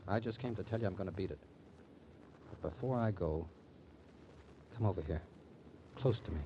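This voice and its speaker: Bitter hardboiled boyfriend, old radio play, clipped slangy talk, dull hissy recording. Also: male voice, deep voice, moderate pace. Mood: cold, menacing. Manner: hard-boiled, clipped, slangy. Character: bitter, jilted tough young boyfriend. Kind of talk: old-time radio crime drama acting.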